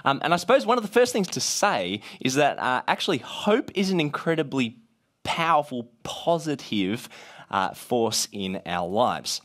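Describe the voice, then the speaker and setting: A man with a gravelly voice from being a bit sick, leading a casual talk to a group with many "uh" fillers. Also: mid-range voice, normal speed. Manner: many "uh" fillers, lively rising emphasis.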